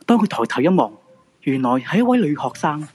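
A man's voice: on the high side, sing-song, fairly quick, a little mellow, a little hiss or hum. A man with a Hong Kong Cantonese accent narrating a scripted ghost-story radio drama. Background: background music.

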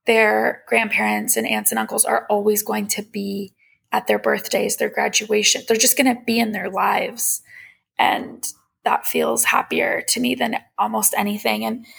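Creaky-voiced young woman, slightly high and husky. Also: fairly even intonation, moderate pace, fairly bright tone. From Iran. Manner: candid, reflective, conversational. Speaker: podcast host. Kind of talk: casual personal monologue.

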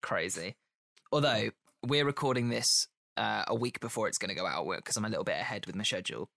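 A man hosting a casual podcast chat, friendly and talkative, his voice a little croaky because he is unwell. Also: fairly low pitch, lively intonation, a little fast, fairly bright tone, dry recording, studio quality. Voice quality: slightly croaky. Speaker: podcast host.

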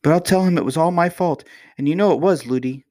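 A man's voice: fairly high pitch, fast talker, a little mellow, voice pushed up, natural voice. A man voicing an affectionate little princess in a storybook, a young girl with an earnest, polite, childlike manner. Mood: pleading.

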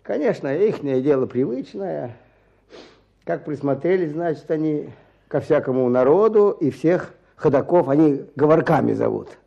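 An actor playing a weary, stubborn peasant petitioner back from prison, speaking plaintively in halting rustic phrases in a radio drama. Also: male voice, on the high side, gravelly, warm tone, husky, muffled recording, exaggerated voice. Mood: bitter, weary. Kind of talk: Russian radio drama acting.

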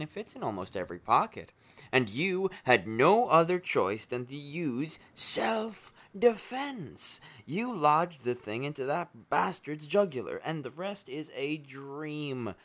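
An actor playing a smooth-talking, remorseless killer in a darkly comic radio play, with a glib, conversational manner and dramatic pauses. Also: male voice, on the high side, fairly bright tone, no echo. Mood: smug, persuasive. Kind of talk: radio play monologue and dialogue.

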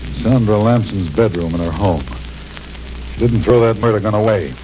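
A wary, blunt mob tipster, played by a man, his voice fairly low and his slang plain. The old radio transcription is muffled, with faint hiss. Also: moderate pace, warm tone, natural voice. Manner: low, blunt gangster talk. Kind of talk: 1940s radio drama acting.

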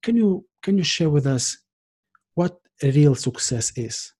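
A man hosting an interview, speaking with careful, slightly halting phrasing. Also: fairly high pitch, expressive, dark tone, slightly rough. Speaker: podcast host.

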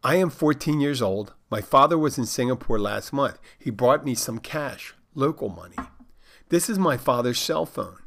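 A man's voice: medium pitch, expressive, moderate pace, a bit bright, a little hiss or hum, pitched above the performer's own voice. A man voicing a naive fourteen-year-old Polish expat boy, reading out stilted, overly formal text messages. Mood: earnest deadpan.

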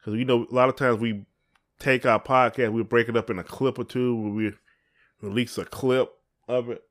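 A man's voice: medium pitch, fairly even intonation, normal speed. A man with a Southern American accent and a middle-range voice. He co-hosts a casual podcast and talks in a folksy, playful way, rambling as he goes. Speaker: podcast co-host. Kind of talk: casual chat.

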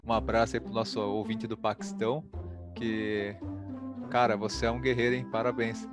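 A man's voice: lowish voice, level tone, normal speed, music in the background. A young man with an interior São Paulo accent, co-hosting a casual podcast with joking, slangy banter. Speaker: podcast co-host.

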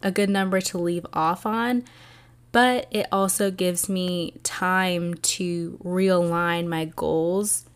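Soft-spoken woman with a soothing voice. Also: lowish voice. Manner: soft-spoken, earnest, with many fillers. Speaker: podcast host. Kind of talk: reflective solo podcast talk.

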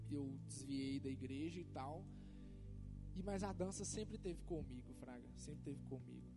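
A man in casual church conversation, telling his story in relaxed slangy speech with music behind. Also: on the high side. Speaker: church dancer guest. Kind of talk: casual chat.

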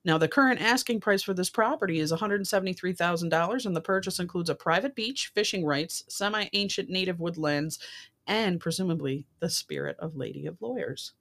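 A woman's voice: lowish voice, fairly quick, fairly bright tone. A woman hosting a casual talk show, reading out odd news stories and dropping in informal asides.

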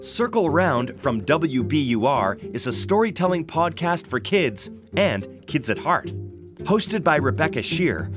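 Lively higher-pitched man announcer. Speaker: podcast announcer. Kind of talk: scripted promo narration. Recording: guitar music behind the voice.

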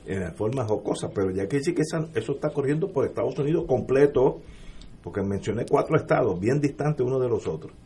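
A middle-aged Puerto Rican man on a political radio panel, speaking emphatically and building to forceful points. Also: mid-range voice, animated, fairly quick, breathy edge. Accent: Puerto Rican Spanish.

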